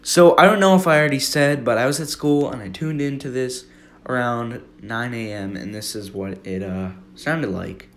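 A man's voice: mid-range voice, normal speed. A young American man giving rambling, informal commentary over a screen recording in an earnest way. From Minnesota, United States. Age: teenager or young adult. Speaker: amateur video maker. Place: room at home. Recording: roomy, echoey home recording.

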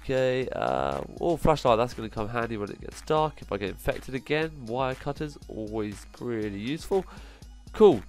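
A man with a cold, sounding nasal, giving excitable commentary as he plays through a survival game, full of quick exclamations. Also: mid-range voice, soft background music. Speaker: gaming channel host. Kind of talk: gameplay commentary.

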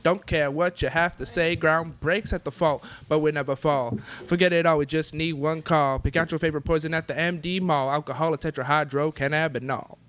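A man's voice: a little high, fairly even intonation, a little fast, few pauses, fairly bright tone. A young adult man performing rhymed a cappella rap live on stage. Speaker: spoken-word rapper. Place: indoor classroom stage before small audience.

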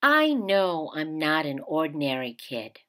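A woman narrates a picture book for young children. She reads slowly and expressively, with a lot of rise and fall in her pitch. Her delivery is that of a storybook read-aloud. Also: sing-song, a bit bright.

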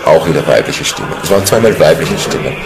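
A man's voice: very deep voice, sing-song, fast, vocal fry, over music. A man casually recounting an investigation he took part in. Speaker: paranormal investigator, interviewee. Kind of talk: casual personal account. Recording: noisy location sound.

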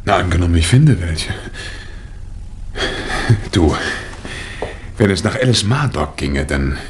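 An actor playing a cool, wry amateur detective in a 1960 radio mystery, with dry, theatrical banter. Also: male voice, sits low, big pitch swings, slow pace, heavily acted. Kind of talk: 1960 German radio mystery play acting. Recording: vintage vinyl radio play, noticeable surface noise.

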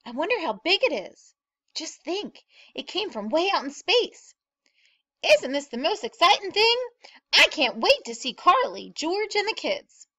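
A woman voicing excitable small-town gossips in a storybook, exclaiming breathlessly and emphatically as they spread exaggerated news. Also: extremely high pitch, measured pace, voice pushed up, full character voice. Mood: eager. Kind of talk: animated storybook character reading.

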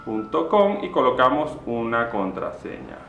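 A man's voice: mid-range voice, measured pace, creaky, faint music behind. Chatty man in a roomy echo. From Venezuela. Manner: chatty, animated, gestures a lot while talking. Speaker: YouTuber. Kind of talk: sponsored app walkthrough. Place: small indoor room with posters. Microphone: camera-mounted shotgun mic at desk distance.

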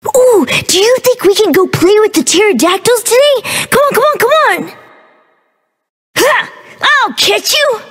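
A woman's voice: extremely high pitch, expressive, a little slow, fairly bright tone, big-room sound, heavily acted. A woman voicing a playful, innocent baby dinosaur for a video game, with a childlike, bubbly, exaggerated delivery. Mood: playful, excited. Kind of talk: video game character voice lines.

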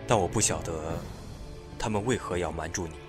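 An actor playing a solemn, sorrowful man in a fantasy audio drama, speaking in a grave, measured way. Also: deep voice, music in the background, lightly acted. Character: solemn, sorrowful man bearing tragic news.

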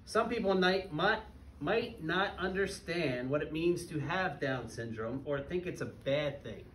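A man's voice: fairly high pitch, animated, unhurried, a bit bright. A man in his thirties reads a picture book aloud to young children, using simple phrasing and putting questions to them as he goes. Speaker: parent guest reader at a school. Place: small elementary school classroom. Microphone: camera a few metres away, speaking through face mask. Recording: roomy, distant mic with background noise.

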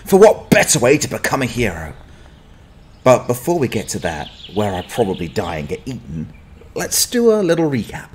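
A man's voice: lowish voice, huge pitch swings, normal speed, slight background noise. A man playing a sardonic young fallen-noble adventurer, telling his story in wry, dramatic audio drama narration.

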